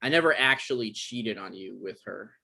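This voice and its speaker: A man's voice: fairly low pitch, expressive, moderate pace, vocal fry, pushed and bright, heavily acted, poor audio. A man acts out an awkward male novelist in his thirties. He is evasive and apologetic, with hesitant, stammering delivery. The comedy is played naturally, as in a table read. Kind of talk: naturalistic comedic dialogue reading. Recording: online live-stream table read.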